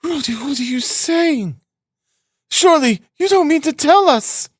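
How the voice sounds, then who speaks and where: A man's voice: high-pitched, lively intonation, unhurried, no room sound, clean studio sound, pitched above the performer's own voice. A man voicing an anxious, timid, doting middle-aged mother in an audiobook, pleading and fretful. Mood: alarmed. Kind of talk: audiobook character acting.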